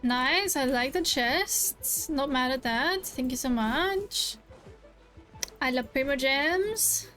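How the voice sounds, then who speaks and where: High-voiced, excitable woman streamer. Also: takes it slowly. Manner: excitable, with repeated exclamations and gasps. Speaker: video game streamer. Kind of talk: casual gameplay reaction commentary. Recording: game music faintly behind voice. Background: video game music.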